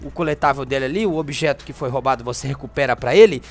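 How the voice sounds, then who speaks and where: A man's voice: medium pitch, fast talker, faint music behind. A Brazilian man hosting a gaming channel, giving a casual, chatty walkthrough in informal speech peppered with little check-in tag questions. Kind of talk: casual video game walkthrough commentary.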